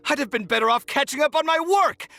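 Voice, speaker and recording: An actor playing a proud, touchy young artist in a video game, with a haughty, exasperated, theatrical delivery. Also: male voice, very high voice, expressive, fast, gravelly, pushed and bright, faint music behind, heavily acted. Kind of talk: animated video game voice acting.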